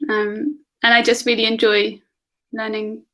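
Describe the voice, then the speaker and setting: A woman's voice: a little high, monotone, a little slow, bright, forceful tone. A woman from England, tutoring over a video call in casual conversation, asking lots of questions and laughing often. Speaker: online English tutor.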